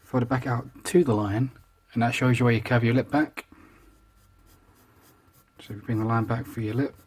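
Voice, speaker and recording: A man's voice: mid-range voice, fairly even intonation, moderate pace, a little mellow, slightly rough. A man, chatty and self-deprecating, talking through each step of a drawing tutorial. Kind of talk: casual real-time drawing tutorial. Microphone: voice over the drawing, not seen speaking.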